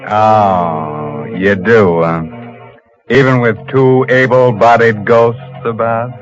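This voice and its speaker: Male actor as gloating horror host, low voice, very slow, macabre, old radio show, music behind. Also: fairly even intonation, lo-fi sound. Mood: gleefully sinister.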